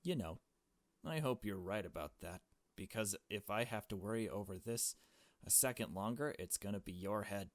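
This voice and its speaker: A man's voice: on the low side, animated, normal speed, no room sound, close to an everyday voice. Man voicing a brash, hot-tempered young man. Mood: impatient, threatening. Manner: brash, sarcastic, profane. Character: hot-tempered, foul-mouthed, impatient young male accomplice.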